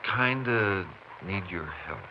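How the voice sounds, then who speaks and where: An American man playing a steady, friendly Texas Ranger in a 1950s western radio drama, speaking in folksy, clipped phrases. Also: lowish voice, slow pace. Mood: gentle, reassuring. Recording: muffled, noisy 1950s radio recording.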